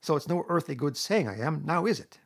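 Man voicing a flamboyant, excitable, high-pitched toad gentleman. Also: brisk, creaky. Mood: impatient, gushing. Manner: emphatic, gushing, defiant. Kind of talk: storybook character reading.

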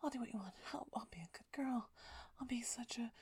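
A woman performing an audio roleplay in a breathy, pleading whisper that often stammers. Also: fairly high pitch, brisk, darkish tone, dry recording.

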